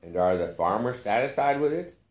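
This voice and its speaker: A man's voice: medium pitch, animated, fairly quick, echoey room, natural voice. A man voicing an astonished, naively blunt questioner in a short story, pressing his questions insistently. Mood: naive, curious.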